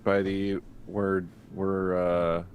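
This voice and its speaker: A man chatting casually as a player on a tabletop show, with a halting, pausing delivery and faint noise on the mic. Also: deep voice, completely flat delivery. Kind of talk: casual chat.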